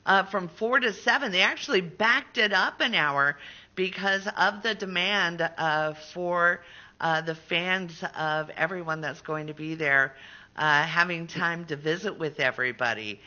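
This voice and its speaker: Very bright, forceful female radio host. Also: fairly low pitch, unhurried, dull audio. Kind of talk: casual promotional interview.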